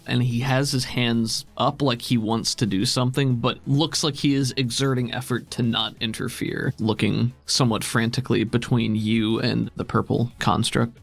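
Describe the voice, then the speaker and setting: Man, game master, gravelly creaky voice, conversational narration and rules talk, music behind. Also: medium pitch. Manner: explains rules in a casual, conversational way. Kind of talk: narrating and running a tabletop game.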